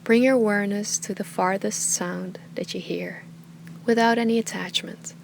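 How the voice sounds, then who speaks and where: A woman teaching meditation with a calm, soothing, unhurried delivery that often trails into breathy softness. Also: mid-range voice, slight background noise. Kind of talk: guided meditation, soft slow instruction.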